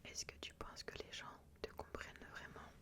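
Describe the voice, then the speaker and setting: A woman speaking in a soft, unvoiced whisper as an ASMR host, addressing the listener directly with repeated phrases. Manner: slow, repetitive phrasing, repeating questions and words. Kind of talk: ASMR personality-test roleplay, addressing the listener.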